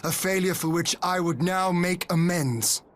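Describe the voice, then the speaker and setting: An actor playing a stern, sorrowful noble lord in a video game, speaking gravely and deliberately in formal language. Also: male voice, sits high, a bit bright. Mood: stern, regretful. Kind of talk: video game cutscene acting.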